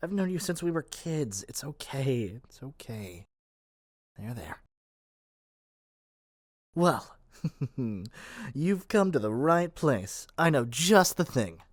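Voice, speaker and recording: A man's voice: mid-range voice, big pitch swings, slow pace, long pauses, dry recording. Man acting out a sweetly possessive young witch, close and dry. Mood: tender, coaxing. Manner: theatrical, coaxing, playful, stammers when pleading. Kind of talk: scripted ASMR roleplay addressed to the listener.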